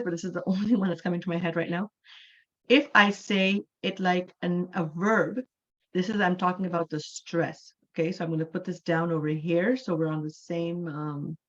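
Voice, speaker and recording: A woman in her forties from Canada teaching an English class online. She explains patiently, slowing down and repeating key words for emphasis. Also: on the low side, lo-fi sound.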